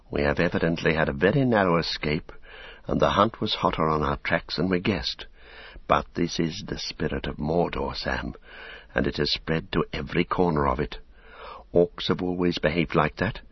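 A man voicing a weary, cautious, gentle male hobbit in a storybook, speaking in a hushed, measured and grave manner. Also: low voice, big pitch swings, slightly rough, dry recording, dull audio, exaggerated voice.

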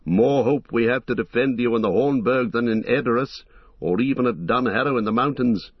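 A man voicing a noble warrior who speaks calmly, firmly and in a measured way, on a dull lo-fi recording. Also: mid-range voice, husky, dry recording, lightly acted. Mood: reassuring. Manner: calm, firm, measured. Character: noble male warrior-king, calm, commanding.

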